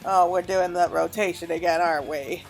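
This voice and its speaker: A woman's voice: fairly low pitch, steady tone, normal speed, vocal fry, faint music behind. A woman giving casual, chatty commentary as she plays a game, reacting aloud as she goes. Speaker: gamer recording a playthrough.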